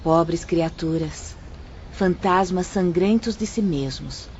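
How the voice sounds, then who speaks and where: A woman playing a gentle female spirit instructor who explains things calmly and kindly to new apprentices in an audio drama. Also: fairly low pitch, takes it slowly. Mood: patient. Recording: slightly dull, faint background hiss.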